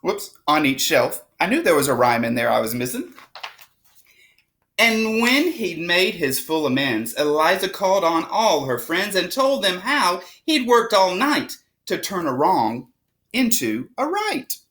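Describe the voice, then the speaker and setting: A woman reading a rhyming picture book aloud to children with expressive, dramatic storytelling. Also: fairly low pitch, measured pace, a little hiss or hum. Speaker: storybook reader.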